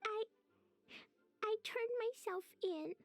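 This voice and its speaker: A woman voicing a sweet, naive, impulsive little girl in an animated video game, with a childish, earnest, halting way of talking. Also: very high-pitched, creaky, faint music behind, full character voice. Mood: distraught, guilty.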